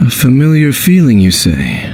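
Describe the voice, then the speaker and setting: A man voicing a reserved, world-weary wanderer in an anime-style video game, speaking gravely and formally, with noise behind him. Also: mid-range voice, huge pitch swings, moderate pace, music in the background. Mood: calm, watchful. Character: solemn, world-weary male wandering swordsman, reserved and cryptic.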